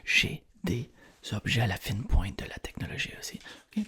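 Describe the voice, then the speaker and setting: A man's voice: lowish voice, big pitch swings, bright, forceful tone. Whispering Quebec French man, ASMR roleplay. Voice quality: breathy whisper. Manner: repeats words and phrases slowly and soothingly. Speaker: ASMR creator playing an attentive tailor.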